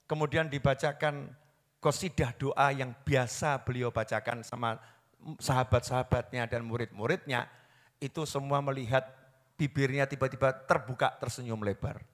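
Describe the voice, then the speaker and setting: A man preaching a sermon, swinging from hushed storytelling to loud impassioned pleading. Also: medium pitch, steady tone, moderate pace. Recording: long hall reverb.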